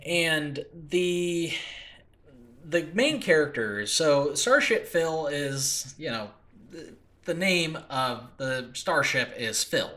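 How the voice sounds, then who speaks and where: A man's voice: on the high side, animated, slow, pushed and bright. A man hosting an informal solo talk about his own fictional world, rambling and often interrupting himself with asides. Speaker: podcast host and audio drama creator. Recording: makeshift home recording.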